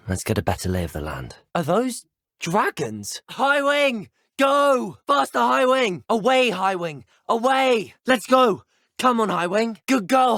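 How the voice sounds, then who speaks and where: A man's voice: fairly low pitch, breathy edge, no room sound, clean studio sound, full character voice. A young man with a British accent, acting as a polite, curious teenage wizard schoolboy in a video game, speaking in a courteous, formal, well-spoken way. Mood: pondering, then excited, urging. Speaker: voice actor for a player character. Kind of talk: video game character dialogue.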